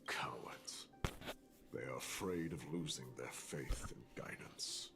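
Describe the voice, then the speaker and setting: Very deep, gravelly, scornful lord. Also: male voice, slow, whispered, music in the background, heavily acted. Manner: solemn, disdainful. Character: grim lordly man, stern and scornful of mortals. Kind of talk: video game voice acting.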